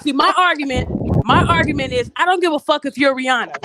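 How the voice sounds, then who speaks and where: A woman's voice: high-pitched, fast, very noisy. A woman co-hosting a live podcast, getting over a cold, talking in a blunt, emphatic and profane way. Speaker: podcast co-host. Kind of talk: opinionated live podcast talk.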